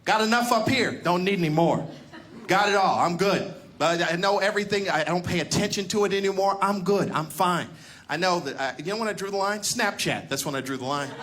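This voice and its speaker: A man in his fifties doing a stand-up comedy set on a club stage, rambling and repeating himself, getting animated as he acts out stories. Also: high voice, brisk, slight background noise. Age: 50s. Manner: rambling, repetitive, animated when acting out stories.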